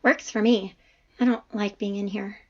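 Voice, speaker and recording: A woman's voice: mid-range voice, steady tone, moderate pace, darkish tone, muffled recording, natural voice. A woman playing a friendly, cautious professor in an audio drama, talking in an informal, tentative way. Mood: wary, gentle.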